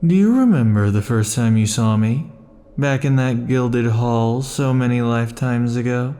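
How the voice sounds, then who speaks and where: A man voicing an obsessive, possessive male immortal in an ASMR roleplay, talking close to the mic in a slow, intimate, brooding way. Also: mid-range voice, warm tone, natural voice. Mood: mocking. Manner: slow, intimate, brooding delivery with sudden furious outbursts.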